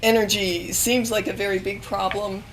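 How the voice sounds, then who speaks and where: A woman in her fifties, hoarse and losing her voice, speaking on an alumni panel at a university event, with many pauses and restarts. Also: mid-range voice, level tone, slight background noise, poor audio. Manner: hesitant, many ums and restarts. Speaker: panel speaker, magazine editor. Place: university event room with audience.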